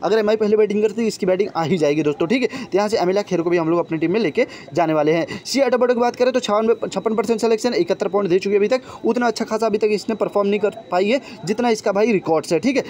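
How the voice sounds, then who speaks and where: A young man speaks extremely fast in a high, mellow voice. He chats informally to viewers as a fantasy sports tipster, addressing them like brothers. There is clear noise behind his voice-over. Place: voice-over on a phone screen recording.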